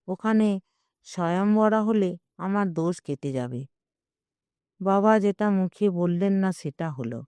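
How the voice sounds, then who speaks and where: A woman narrates a literary audio story in the first person. Her voice is smooth and mellow, and she takes her time. The delivery is wry and dramatic, with a close, dry sound. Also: fairly low pitch, no room sound.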